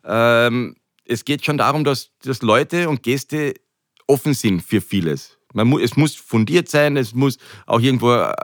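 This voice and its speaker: A man's voice: medium pitch, measured pace, dry recording, studio quality. A middle-aged man with an Austrian accent talking conversationally as an interview guest, often hesitating and repeating words as he searches for phrasing. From Carinthia, Austria.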